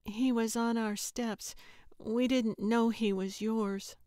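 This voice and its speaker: A woman's voice: a little high, steady tone, slow, warm tone, no room sound, studio quality, pitched above the performer's own voice. A woman voicing an earnest, guarded eight-year-old girl in an audiobook, speaking a little hesitantly. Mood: hesitant, apologetic. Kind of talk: audiobook character acting.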